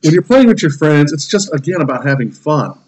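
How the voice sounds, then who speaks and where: A man's voice: a little high, very animated, a little fast, darkish tone, low-quality recording. A man in his thirties ranting casually as a podcast co-host, blunt, profane and irritable. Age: 30s. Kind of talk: casual opinionated rant.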